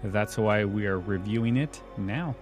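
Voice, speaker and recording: A man hosting a casual podcast, his voice worn hoarse, chatting and laying out facts with a chuckle. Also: lowish voice, a little slow, soft background music. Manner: relaxed, informative, chuckles often. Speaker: podcast host.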